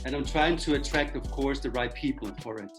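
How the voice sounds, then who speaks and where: An Italian man whose first language is Italian, speaking in slightly halting English and searching for words as an interview guest. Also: mid-range voice, music in the background. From Italy. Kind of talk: video call interview.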